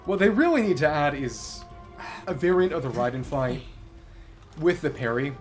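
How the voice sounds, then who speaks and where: A man's voice: a little high, very animated, moderate pace. A man doing live gameplay commentary as a streamer, talking analytically through tactics to himself. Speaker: game streamer. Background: music behind the voice.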